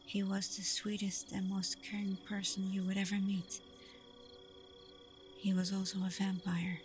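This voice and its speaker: Soft, even-toned vampire girlfriend, acted by a woman. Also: mid-range voice, a little hiss or hum, natural voice. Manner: soft, breathy, hesitant, reassuring, often dropping toward a whisper. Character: tender, protective young female vampire girlfriend, secretive and self-doubting. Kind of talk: intimate ASMR girlfriend roleplay.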